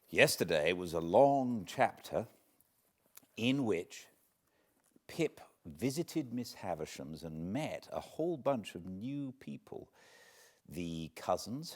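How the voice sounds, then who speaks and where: British man, literary narration, deep voice, widely swooping intonation, measured dramatic delivery, deliberate pauses. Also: darkish tone. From Britain. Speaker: audiobook narrator, host of fireside readings. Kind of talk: expressive literary storybook reading.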